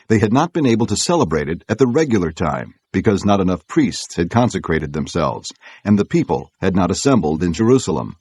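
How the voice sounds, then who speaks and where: A man reading scripture as an audiobook narrator, in a measured, solemn way. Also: sits low, a little mellow, husky, no room sound.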